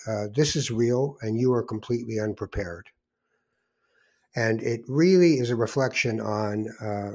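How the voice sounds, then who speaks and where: Slightly husky older Canadian man, reflective. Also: medium pitch, darkish tone. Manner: rambling, reflective storytelling with digressions. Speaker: podcast host. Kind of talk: casual conversational podcast monologue.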